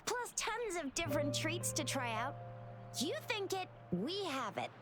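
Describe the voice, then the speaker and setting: A woman's voice: high-pitched, very animated, unhurried. A woman voicing a cheerful, brash young patroller who wants to be a hero in a video game, peppy and eager. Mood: enthusiastic. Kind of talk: video game character acting. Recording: game music behind the voice.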